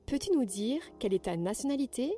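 A woman hosting a podcast, gently reading out prepared interview questions in a measured way. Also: fairly high pitch, expressive.

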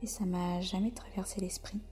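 A soft-spoken French woman, a podcast guest, answering slowly with many hesitant pauses in a whispery voice. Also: lowish voice, fairly even intonation, faint music behind. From France. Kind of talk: podcast interview.

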